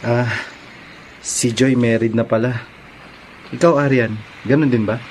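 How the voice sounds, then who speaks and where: An actor playing a polite, earnest old flame in a radio drama, courteous and a little hesitant. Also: male voice, medium pitch, fairly even intonation, measured pace, a little mellow, a little hiss or hum, lightly acted, rough recording. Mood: tentative. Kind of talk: Filipino radio drama acting.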